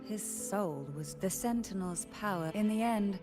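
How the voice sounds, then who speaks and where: A woman voicing a composed, guarded noblewoman matriarch in a video game, speaking softly in a measured, formal and cryptic way. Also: mid-range voice, over music, lightly acted. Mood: solemn, guarded.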